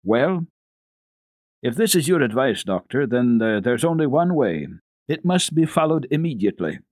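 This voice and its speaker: A man's voice: mid-range voice, big pitch swings, moderate pace, a little mellow, no room sound, studio quality, natural voice. A man voicing an agitated, indignant, commanding master of a wealthy house in an emphatic, exclamatory storybook reading. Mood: resolved.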